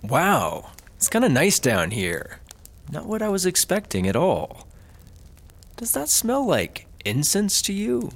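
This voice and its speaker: A man's voice: sits high, wildly swooping pitch, slow, exaggerated voice. A man acting an easygoing, food-loving, cheerfully oblivious buddy in a sci-fi comedy audio drama. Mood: relaxed. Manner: casual, unbothered, cheerfully oblivious. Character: laid-back, easygoing, food-loving male squad car buddy.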